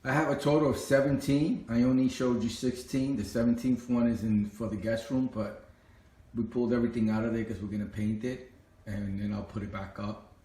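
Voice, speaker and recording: A man's voice: medium pitch, steady tone, brisk, warm tone. A middle-aged man giving a casual, plainspoken walkthrough in a conversational, repetitive way. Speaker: home tech vlogger. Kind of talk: casual explanatory home walkthrough. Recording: echoey indoor room.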